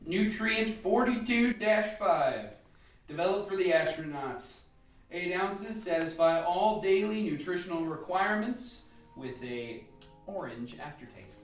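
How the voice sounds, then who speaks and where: Man acting a brisk scientist team leader, very echoey. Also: sits high, lively intonation, recorded from a distance. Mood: dry, instructive. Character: authoritative scientist team leader, methodical, dryly wry. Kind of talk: radio play acting. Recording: echoey room, off mic, music behind.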